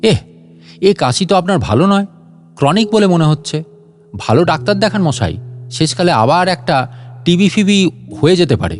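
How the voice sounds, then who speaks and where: A man's voice: high voice, sing-song, normal speed, pauses often, soft background music, pitched above the performer's own voice. A man playing an elderly, unflappable scientist in a story, chatty, wry and fussily indignant. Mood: fussy, scolding. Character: elderly, unflappable, sarcastic, talkative gentleman scientist.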